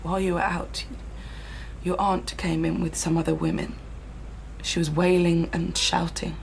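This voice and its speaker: A woman's voice: lowish voice, little pitch movement, measured pace, creaky. A woman playing a blunt, sardonic and bitter partner in a naturalistic radio drama. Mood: defiant, upset. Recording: noisy ambient radio drama soundscape.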